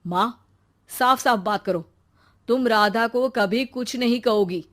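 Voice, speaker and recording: A woman voicing a young married son, protective and exasperated, in a quick, pleading manner for an animated story. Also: a little high. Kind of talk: animated Hindi moral story acting.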